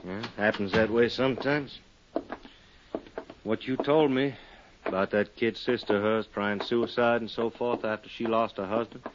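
An actor playing a blunt, hard-nosed police lieutenant with a world-weary manner, in 1950s radio drama style. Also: male voice, fairly low pitch, fairly even intonation, normal speed, close to an everyday voice. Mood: subdued, matter-of-fact. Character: gruff, hard-nosed male police lieutenant, reluctantly respectful. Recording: dull, noisy 1950s radio recording.

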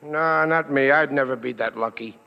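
A man's voice: medium pitch, moderate pace, gravelly, very noisy, natural voice. An actor playing a dim, blustering working-class family man in a 1940s radio comedy, slangy and melodramatic. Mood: gullible curiosity. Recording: dull, lo-fi old radio recording.

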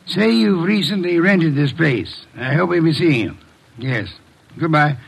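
A shrewd, condescending detective in an old radio mystery. His voice sits fairly high with a gravelly creak, and he speaks in formal, precise, pompous sentences. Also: expressive, measured pace, exaggerated voice. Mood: brisk, authoritative. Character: shrewd, imperious middle-aged detective, dry and condescending. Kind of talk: classic American radio mystery acting. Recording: old radio drama recording.

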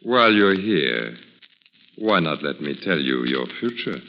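An actor playing a sinister, silkily polite hypnotist fortune-teller in a commanding 1940s radio style. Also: male voice, very deep voice, very animated, a little slow, exaggerated voice. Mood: coaxing, commanding. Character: sinister middle-aged male hypnotist fortune-teller, smooth and menacing. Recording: muffled, hissy old radio recording, reverberant.

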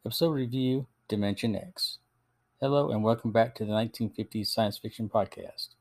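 A man's voice: lowish voice, a little fast. A man hosting a podcast, reading a scripted review in a narrating style. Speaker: podcast host.

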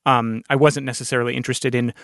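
A man hosting a podcast interview in a thoughtful conversational style, with wordy, deliberate and articulate phrasing. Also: medium pitch, level tone, fairly bright tone, no room sound, clean studio sound.

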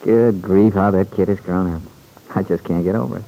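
A man's voice: low voice, steady tone, a little fast, gravelly, dark tone, a little hiss or hum, poor audio. An actor playing an earnest, loyal young man home from war in an old-time radio serial. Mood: earnest, concerned. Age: young adult. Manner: earnest, colloquial, reflective.

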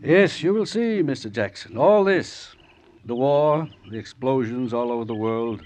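An actor playing an elderly revolutionary leader, grave, courteous and prophetic, with deliberate formal phrasing in a 1950s radio drama. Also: male voice, medium pitch, animated, a little mellow, lightly acted. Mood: grave, knowing.

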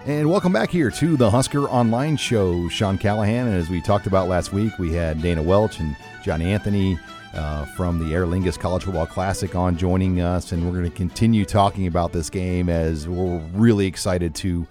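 A man's voice: sits low, moderate pace, a little mellow, faint music behind. A man with an American accent hosting a conversational sports talk show. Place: studio.

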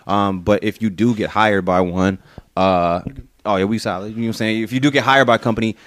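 Low-voiced fast-talking young man. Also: fairly even intonation, no echo. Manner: laid-back slangy talk, drifts quieter as he explains. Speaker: podcast guest. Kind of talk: casual chat. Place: home kitchen.

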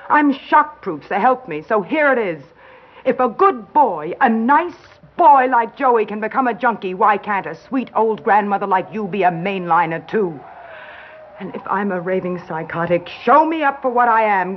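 An actress playing a weary, blunt newspaper reporter in a 1960s American radio drama, speaking intensely with dramatic pauses. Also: female voice, medium pitch, close to an everyday voice. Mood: challenging, fierce. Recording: dull vintage radio recording.

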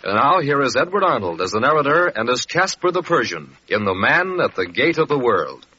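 A man's voice: mid-range voice, big pitch swings, a little fast, bright, forceful tone, husky. A man delivering a polished, persuasive announcement in 1940s radio style. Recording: muffled, faintly noisy 1940s radio.

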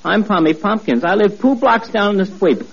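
A man's voice: sits high, normal speed, gravelly, background noise. A piping little boy who turns T into P. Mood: eager. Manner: lisping, swaps T sounds for P. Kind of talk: 1940s radio comedy acting. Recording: muffled old radio broadcast, studio audience. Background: studio audience laughter.

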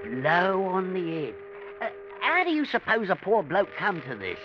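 A man's voice: high voice, wildly swooping pitch, unhurried, full character voice. An actor playing a nervous little London fisherman with a Cockney accent and a hesitant, folksy manner in a 1940s radio drama. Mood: nervous, curious. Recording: noisy, muffled vintage radio drama recording.

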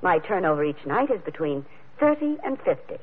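Haughty priestess, old radio. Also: female voice, lowish voice, very animated, a little fast, slightly rough. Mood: cool, smug. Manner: imperious, cool, formal. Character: haughty, languid, scheming high priestess. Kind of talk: 1940s radio drama acting. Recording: narrow vintage radio recording, faint music.

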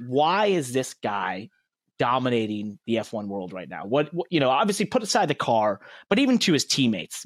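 A man's voice: mid-range voice, no echo, clean studio sound. A man co-hosting a podcast, asking long rambling questions in a chatty way. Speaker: podcast co-host. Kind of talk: casual podcast chat.

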